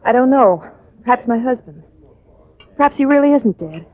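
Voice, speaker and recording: A woman's voice: on the high side, animated, normal speed, natural voice. A woman acting an alluring, scheming femme fatale client in a 1950s radio drama, with a theatrical manner. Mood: confiding, afraid. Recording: muffled, hissy vintage radio recording.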